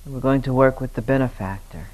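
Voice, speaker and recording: A man leading a guided meditation as a teacher, speaking gently in short, unhurried phrases with long pauses. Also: mid-range voice, rough recording.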